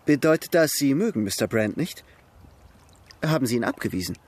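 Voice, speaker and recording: An actor playing a charming, eloquent young gentleman in a radio drama, polite and teasing. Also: mid-range voice, expressive, brisk, husky. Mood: curious. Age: young adult. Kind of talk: radio drama dialogue, period literary adaptation.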